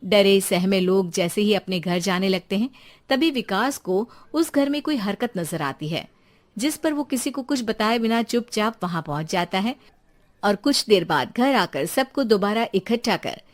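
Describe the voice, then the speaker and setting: Casting: female storyteller, medium pitch, scripted cartoon horror narration. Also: animated, moderate pace.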